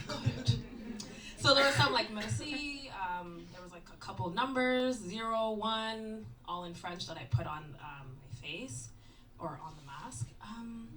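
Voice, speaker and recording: A woman's voice: medium pitch, fairly bright tone, slight background noise. A woman dancer answering audience questions in a casual, candid, rambling way. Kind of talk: post-show talkback. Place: performance venue. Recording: distant mic, faint ambience.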